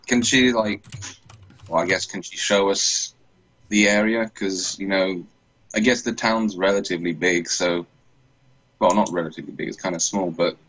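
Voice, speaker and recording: A man's voice: low voice, fairly bright tone, muffled recording. A man playing a tabletop game, chatting casually and rambling, often correcting himself mid-thought. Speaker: player.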